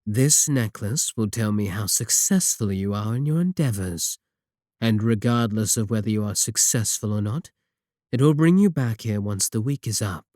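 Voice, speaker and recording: Male actor as evil warlock, low dark voice, smug, sadistic, taunting, deliberate phrasing, audio drama roleplay. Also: lively intonation, no echo, clean studio sound, natural voice. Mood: sly, bargaining. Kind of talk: scripted roleplay audio drama, spoken to the listener.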